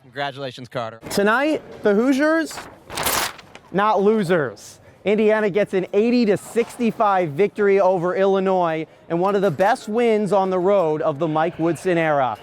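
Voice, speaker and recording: A man's voice: high voice, expressive, normal speed. A man working as a sports reporter, speaking in a broadcast reporting style.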